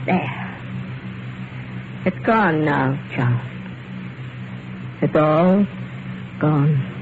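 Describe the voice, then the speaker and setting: An actress playing an eerily calm, wounded and quietly vengeful wife in an old radio thriller, measured and wistful. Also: female voice, low-pitched. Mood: resigned, vengeful. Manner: measured, wistful, dramatic pauses. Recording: muffled, very noisy old radio recording.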